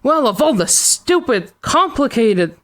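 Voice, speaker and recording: A woman's voice: a little high, big pitch swings, measured pace. A woman playing a nervous, demanding invalid wife in a radio drama, fretful, imperious and full of complaint. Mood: agitated, indignant. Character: nervous, demanding, bedridden invalid wife, querulous and self-pitying.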